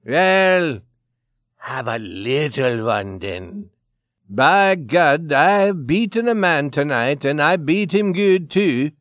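Male narrator as big drunken bully, high-strained shouting, boastful, pushy, storybook character, dry recording. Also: sing-song, takes it slowly, no echo, full character voice. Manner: loud, demanding shouting. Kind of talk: dramatized short story reading.

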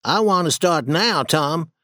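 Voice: medium pitch, expressive, unhurried, pushed and bright, no room sound, clean studio sound, voice pushed up. A storybook reader voicing a timid, superstitious young boy who speaks hesitantly and stammers. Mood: nervous.

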